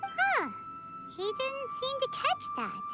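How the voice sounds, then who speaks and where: A woman voicing a tiny, bubbly companion girl who talks excitedly and bluntly in a game. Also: extremely high pitch, takes it slowly, over music, heavily acted. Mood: curious. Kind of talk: game voice acting.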